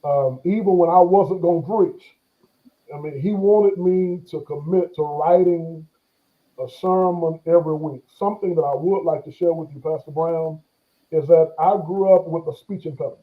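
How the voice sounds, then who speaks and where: A man's voice: a little high, normal speed. A pastor in his forties, a guest in an interview, talking in a reflective, hesitant way with many fillers and restarts, and an occasional stammer. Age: 40s. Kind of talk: conversational interview. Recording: tinny phone-line sound.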